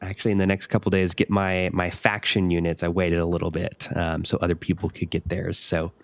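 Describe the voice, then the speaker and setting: A co-host on a casual online music radio chat, relaxed and agreeable. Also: deep voice, a little fast, creaky, dry recording.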